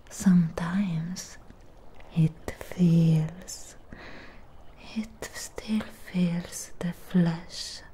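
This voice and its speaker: A woman voicing a lonely, possessive female Frankenstein creature in a close-up ASMR roleplay, whispering in breathy, halting, intimate phrases. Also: on the low side, mellow. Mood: brooding, confiding. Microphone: close microphone. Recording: close-up with clear background noise.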